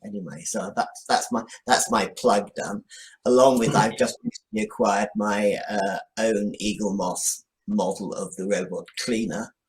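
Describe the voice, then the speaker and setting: An older man, a writer being interviewed, talking casually in a halting, modest way. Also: lowish voice, fairly even intonation, poor audio.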